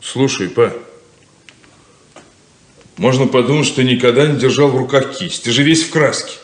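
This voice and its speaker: An actor playing a stern, practical grown son in a 1970s radio play, scolding and sardonic. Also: male voice, mid-range voice, normal speed, lightly acted. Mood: dry. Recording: roomy, noisy old radio recording.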